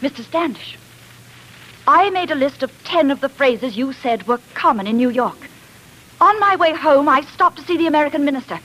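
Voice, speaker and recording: High, fierce, accusing young woman. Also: expressive, moderate pace, a bit bright, low-quality recording. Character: fierce, accusing young sister, righteous and defiant. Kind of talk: 1940s radio acting. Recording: 1940s radio broadcast.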